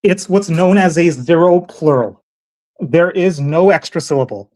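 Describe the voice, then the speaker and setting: A male guest in casual chat, deadpan and precise, teasing dryly. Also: on the high side, measured pace, low-quality recording.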